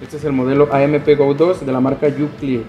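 A man's voice: mid-range voice, little pitch movement, fairly quick, warm tone, muffled recording. A young man with a Mexican Spanish accent, a sales adviser explaining a product casually, with frequent filler words. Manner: casual, many "este" fillers. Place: motorcycle gear shop, indoors. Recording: echoey shop with music.

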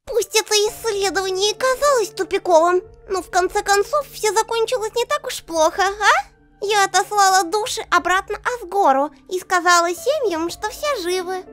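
Squeaky, nervous lizard scientist. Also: female voice, moderate pace, fairly bright tone, soft background music. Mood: uneasy. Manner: nervous, stammering. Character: anxious, stammering female lizard scientist. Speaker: royal scientist character in a comic dub. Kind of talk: fan comic dub acting.

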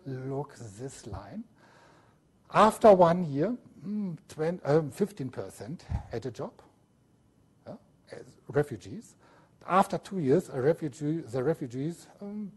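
A man from Germany with a German accent, giving a slide lecture in halting non-native English and often checking in with the room. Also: fairly high pitch, husky.

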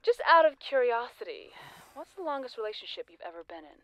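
A woman's voice: fairly high pitch, big pitch swings, brisk, no room sound, rough recording. Needy, sardonic lonely woman, dry close sound. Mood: wry, blunt. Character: lonely, persistent, wryly needy former high-school classmate, woman. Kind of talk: naturalistic audio drama dialogue.

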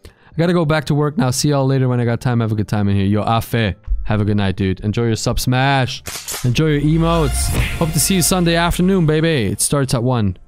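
A man in his thirties streaming from a small gaming room into a boom mic, chatting casually with his viewers, joking and swearing freely. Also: expressive, fairly quick, a little mellow, smooth, soft background music. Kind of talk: casual chat reading live chat. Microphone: studio mic on boom arm, headphones.

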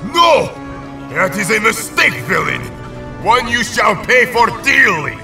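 A man's voice: sits high, very animated, slow pace, heavily acted. A man playing an earnest strongman hero with a formal, declamatory manner in an audio drama. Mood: outraged. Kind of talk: superhero audio drama acting. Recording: distant voice under music.

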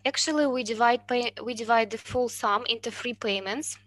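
A woman in her thirties answering questions in a university webinar, speaking careful, slightly halting English over a video call. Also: a little high, a bit bright. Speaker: university admissions presenter. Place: indoors with a virtual background. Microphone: headset on a video call.

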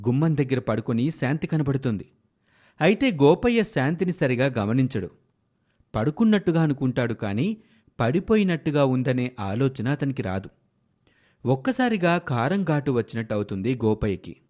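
Expressive male storyteller, lively intonation. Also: mid-range voice, normal speed. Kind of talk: scripted moral story narration.

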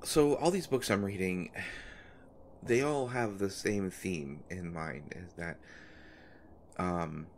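A man performing an intimate audio roleplay as a nervous, eager-to-please young man who talks in halting, flustered stammers. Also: sits low, a little hiss or hum. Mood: earnest, hesitant. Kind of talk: intimate one-sided audio roleplay drama.

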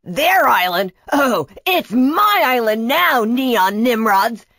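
A mocking, power-hungry Tiki schemer for a children's tale , as a woman plays him. He sneers and boasts with big pitch swings, recorded dry and close. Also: lively intonation, slow, fairly bright tone, no room sound, exaggerated voice. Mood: boastful, menacing.

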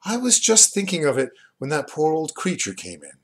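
Man voicing a polite young gentleman, novel reading, earnest, courteous, lilting varied pitch. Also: wildly swooping pitch, normal speed. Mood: politely curious.

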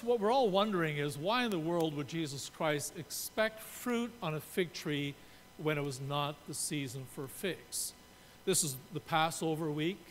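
A man in his sixties from Canada, preaching a sermon from a pulpit and explaining his points while gesturing. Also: a bit bright. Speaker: pastor. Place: church sanctuary. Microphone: pulpit mic. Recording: reverberant church room, faint rumble.

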